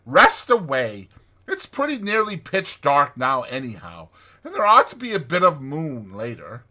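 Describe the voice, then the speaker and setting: A man's voice: on the high side, huge pitch swings, a little slow, pushed and bright, pitched above the performer's own voice, exaggerated voice. A man voicing a hearty, good-natured, capable water rat in a dramatized children's storybook, with an emphatic, jaunty manner. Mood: brisk, kindly.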